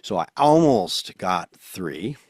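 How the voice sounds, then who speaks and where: A man with a lowish voice co-hosts a relaxed podcast, rambling along in a calm, soothing tone. The sound is dry and close. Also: slow pace, dry recording. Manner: rambling, self-described soothing voice. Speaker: podcast co-host, photography business owner. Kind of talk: casual podcast chat.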